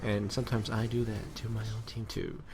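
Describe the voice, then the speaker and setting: A man's voice: fairly low pitch, level tone, fairly quick, background noise. A man giving casual, off-the-cuff gameplay commentary, rambling and correcting himself in crude, slangy language. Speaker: gaming video commentator.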